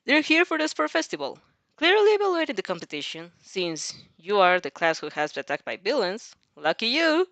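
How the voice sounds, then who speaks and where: A woman reading fan fiction aloud as an expressive narrator, with casual reactions of her own mixed in. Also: mid-range voice, a little fast, creaky, dry recording.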